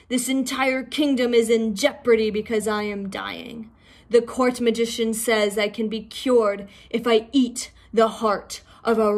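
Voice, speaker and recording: A woman's voice: mid-range voice, close to an everyday voice. Woman voicing an imperious, pompous old-storybook Dragon King. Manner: commanding, pompous. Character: imperious, impatient, ailing giant male Dragon King of the sea.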